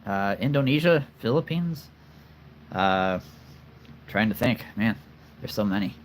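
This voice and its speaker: A man's voice: fairly low pitch, animated, unhurried, slight background noise. A man hosting a casual livestream, talking earnestly and rambling with many fillers and restarts. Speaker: collectible figure channel host.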